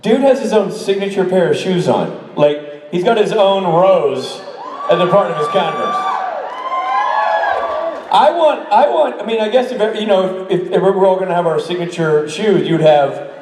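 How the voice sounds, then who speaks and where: Man, stage emcee, bantering, shouting intros, distant mic, long hall reverb. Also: sits high, lively intonation, normal speed, a crowd in the background, background noise. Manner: playful, shouted announcements. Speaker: convention co-host. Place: large convention hall stage.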